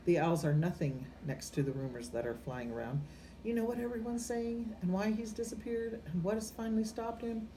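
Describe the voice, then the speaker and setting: A woman's voice: mid-range voice, lively intonation, a little fast, warm tone, a little hiss or hum, pitched above the performer's own voice, natural voice, rough recording. A woman voicing a stern, proper witch professor in a storybook, anxious, emphatic and exasperated.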